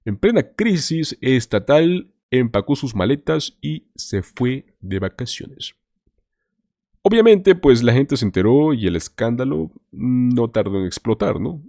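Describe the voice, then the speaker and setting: Guy doing political commentary, pitch jumps all over, dry close mic. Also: big pitch swings, a little slow, dark tone, no room sound. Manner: emphatic, addresses viewers as "señores".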